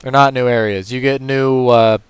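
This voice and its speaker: A man with a hoarse, tired voice, casually talking through a puzzle game move by move as a gaming channel host. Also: medium pitch, moderate pace, no room sound. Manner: relaxed, talks through moves step by step. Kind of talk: casual gameplay commentary.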